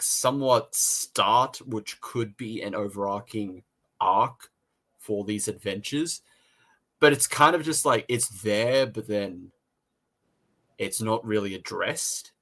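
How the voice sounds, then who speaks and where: A man co-hosting a casual review chat, talking in a halting way and rephrasing as he goes. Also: mid-range voice, a bit bright. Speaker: podcast co-host reviewing audio dramas.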